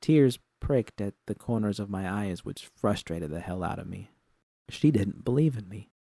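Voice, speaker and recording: A man's voice: lowish voice, animated, normal speed, mellow, dry recording, clean studio sound. A man reading scripted first-person fiction as an audiobook narrator.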